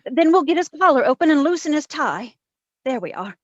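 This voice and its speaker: A woman's voice: high-pitched, sing-song, brisk, no echo, highs cut off. A woman playing a wisecracking, cool-headed female private investigator in an old-time radio detective drama. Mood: brisk, urgent. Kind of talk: 1940s radio detective acting and narration.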